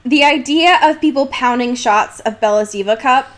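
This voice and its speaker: A woman's voice: high-pitched, normal speed. A young woman co-hosting a podcast in casual banter, irreverent and freely swearing in slang. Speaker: podcast co-host.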